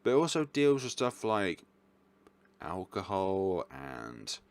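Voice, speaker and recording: A man's voice: low-pitched, wildly swooping pitch, takes it slowly, creaky. A man giving casual ranking commentary as a video host, rambling and often correcting himself as he goes. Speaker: video host reviewing games.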